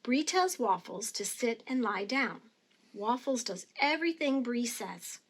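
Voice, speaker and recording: A woman reads a children's story aloud in a high voice. Her delivery is expressive and teacherly, phrased carefully for young listeners. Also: a little slow, husky. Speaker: storybook reader.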